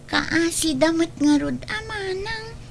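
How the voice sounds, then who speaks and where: A woman's voice: very high voice, lively intonation, takes it slowly, gravelly, exaggerated voice. A woman playing an inquisitive little girl, a younger sister with a childlike way of speaking and an Ilocano accent, in an audio drama. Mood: wondering.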